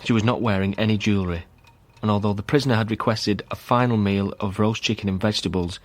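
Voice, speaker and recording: An actor playing a sober man reading out a grim account in a measured, matter-of-fact way in a radio drama. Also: on the low side, fairly even intonation, lightly acted. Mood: solemn.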